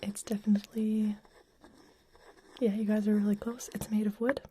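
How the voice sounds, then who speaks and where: A young woman whispering softly as she hosts an ASMR livestream, chatting with viewers while making trigger sounds. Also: mid-range voice, flat delivery, moderate pace, slight background noise.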